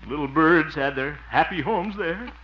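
Actor playing pompous, swooping small-town crusader, old radio. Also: male voice, on the high side, animated, moderate pace, natural voice. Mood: dismissive. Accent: American. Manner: theatrical, blustery. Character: excitable, pompous, good-hearted small-town male radio homemaker and crusader. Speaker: lead actor in a radio comedy. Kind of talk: 1950s American radio sitcom acting. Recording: muffled, noisy 1950s radio recording.

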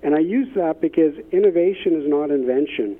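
A man's voice: fairly high pitch, fairly quick. A man speaking as an expert guest in an interview, telling anecdotes conversationally. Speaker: expert guest, business innovation consultant. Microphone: phone line. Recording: thin phone-line sound.

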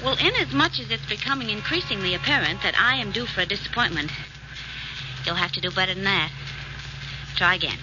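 Actress as witty American radio sweetheart, muffled. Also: female voice, mid-range voice, lively intonation, brisk, pauses often, noisy background, natural voice. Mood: dryly sardonic. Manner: dry, playful, arch quipping. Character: witty, sardonic young female sweetheart of the detective. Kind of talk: 1940s radio drama acting. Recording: muffled old radio recording with music.

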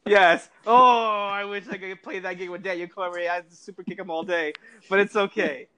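A man co-hosting a podcast, chatting casually and bantering in an animated, slangy way with some swearing. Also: high voice, normal speed, clear, resonant tone. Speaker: podcast co-host. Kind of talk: casual chat about movies.

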